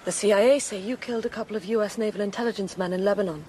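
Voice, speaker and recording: A woman's voice: mid-range voice, very fast, darkish tone, close to an everyday voice. Woman acting a cool female spy, noisy recording. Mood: probing, serious. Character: cool, independent, probing female Cold War intelligence colleague. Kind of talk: radio spy drama acting.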